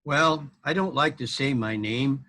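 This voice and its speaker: A man's voice: mid-range voice, a little slow, pushed and bright. A man voicing a bumbling, apologetic knight in a children's story, hesitant and sheepish. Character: bumbling, apologetic knight lost in a dark forest.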